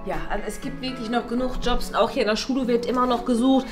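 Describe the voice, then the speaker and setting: A woman playing a disapproving female teacher in a comedy sketch, scolding a colleague in a bright staff room. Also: on the high side, steady tone, quick pace, music in the background, natural voice. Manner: scolding, skeptical.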